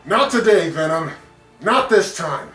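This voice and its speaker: A man's voice: high-pitched, sing-song, a little slow, fairly bright tone, faint music behind, full character voice. A man voicing a cocky, playful young superhero in a children's storybook, with emphatic, taunting delivery. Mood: defiant. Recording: roomy echo.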